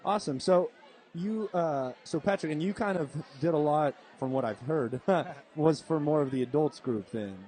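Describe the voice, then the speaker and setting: A man's voice: a little high, normal speed, dark tone. A man hosting a casual podcast interview at a live event hall, upbeat and laughing often. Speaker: podcast host.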